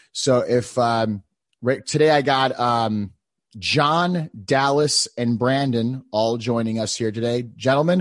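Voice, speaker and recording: A man's voice: medium pitch, no room sound. A man in his late thirties hosting a podcast, talking intensely and swearing for emphasis. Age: 30s. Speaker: podcast host. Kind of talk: motivational podcast talk.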